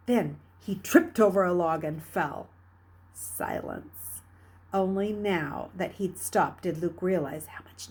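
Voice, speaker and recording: Female audiobook-style reader, mid-pitched, a touch raspy, expressive and dramatic children's fiction narration. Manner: dramatic, expressive, with hushed dips and emphatic rises. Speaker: reader reading a children's novel aloud. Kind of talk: read-aloud storytelling narration.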